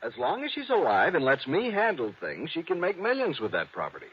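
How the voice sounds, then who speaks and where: A grasping middle-aged business manager in a vintage radio mystery. His husky voice swings very widely in pitch, condescending and insistent. The recording is dull and old. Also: huge pitch swings, brisk, full character voice. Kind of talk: old-time radio drama acting.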